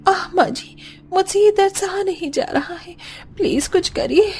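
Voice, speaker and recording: Cartoon casting: young pregnant wife played by a woman, very high, pleading through labour pain. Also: unhurried, darkish tone. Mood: agonized, pleading. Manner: pleading, wailing.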